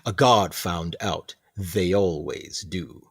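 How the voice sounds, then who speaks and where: An actor playing a smug, menacing ship captain in a radio play, theatrical, deliberate and mock-courteous. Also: male voice, deep voice, husky, full character voice. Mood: grave, menacing. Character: sinister male ship captain, smug, menacing warden of punishment.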